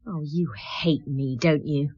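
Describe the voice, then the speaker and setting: A woman's voice: low-pitched, steady tone, measured pace, breathy edge, highs cut off. An actress playing a defiant, evasive married woman under questioning, brittle and sarcastic, in a radio drama. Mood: bitter, mocking.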